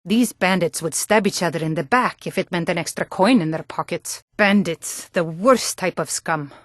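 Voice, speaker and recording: A woman's voice: on the low side, moderate pace, no room sound, clean studio sound, close to an everyday voice. An actress playing a tough, blunt Nordic warrior woman in a fantasy video game, speaking firmly with a Nordic accent. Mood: grim. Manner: firm, blunt.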